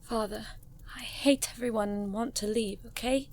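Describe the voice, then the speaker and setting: A woman's voice: fairly high pitch, steady tone, moderate pace, a little mellow, lightly acted. An actress playing a clever, defiant young noblewoman in an audio drama, with a sardonic, formal turn of phrase. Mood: frustrated. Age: young adult.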